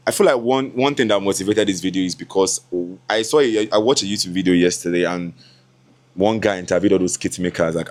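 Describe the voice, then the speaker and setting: A young Nigerian man in his twenties chats to his viewers in a loose, laughing vlog voice. His tone sits a little low for a man. Now and then he slips into Yoruba asides. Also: a little mellow. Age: 20s. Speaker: content creator. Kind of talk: casual vlog talk to camera.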